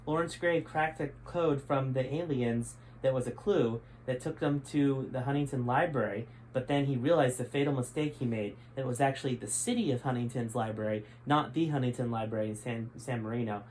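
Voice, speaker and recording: A man's voice: medium pitch, brisk, hardly any pauses, a little mellow, slight background noise, poor audio. A man reading aloud from his own book in a friendly, conversational way. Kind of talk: storytime reading.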